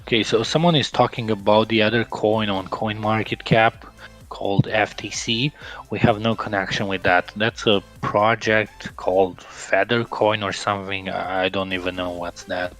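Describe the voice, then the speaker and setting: A man's voice: on the low side, flat delivery, normal speed, fairly bright tone, soft background music. A man from Romania, casually answering viewer questions on a game livestream. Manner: casual, laughs often, some fillers.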